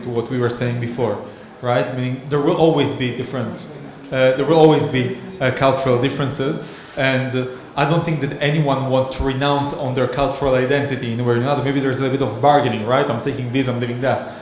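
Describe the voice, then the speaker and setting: A man moderating a workshop discussion, talking in a hesitant, thoughtful way with many restarts. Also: mid-range voice. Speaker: session moderator. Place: conference hall. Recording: echoey conference hall.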